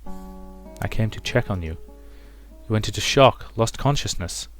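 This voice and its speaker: A man voicing a reserved, consoling gentleman friend in a visual novel, speaking gently and haltingly. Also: on the low side, animated. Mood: gentle, concerned. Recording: music behind the voice.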